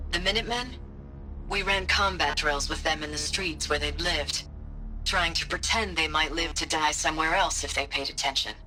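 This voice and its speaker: An actor voicing a weary, grimly reflective soldier recording battle logs in a video game, somber and matter-of-fact. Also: male voice, on the high side, bright, forceful tone, rough recording. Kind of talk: dramatic video game monologue.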